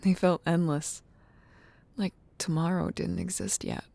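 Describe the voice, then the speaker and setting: A woman playing a gentle, nostalgic childhood friend in an ASMR roleplay, speaking softly and breathily, tender and unhurried. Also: fairly low pitch, fairly even intonation, exaggerated voice. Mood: wistful. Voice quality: soft, breathy near-whisper with creaky fry. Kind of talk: ASMR roleplay, soft intimate talk.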